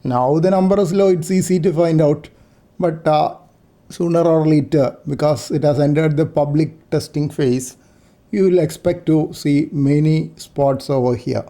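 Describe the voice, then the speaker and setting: A man narrating an unscripted screen-share tutorial, explaining things in short, halting, step-by-step phrases. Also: a little high, steady tone, warm tone. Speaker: amateur radio operator giving a software demo.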